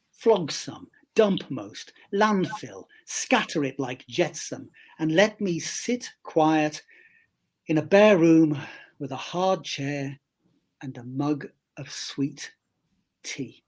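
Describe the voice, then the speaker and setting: A poet reading their poem aloud in a measured, wry way with deliberate phrasing. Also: medium pitch, a little mellow. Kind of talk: slow poetry reading.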